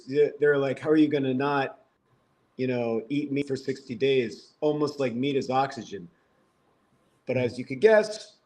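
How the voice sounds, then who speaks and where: A man in his fifties from the Philadelphia area, a podcast guest telling stories with wry, self-mocking humor. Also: mid-range voice. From Philadelphia area, USA. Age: 50s. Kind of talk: casual podcast conversation.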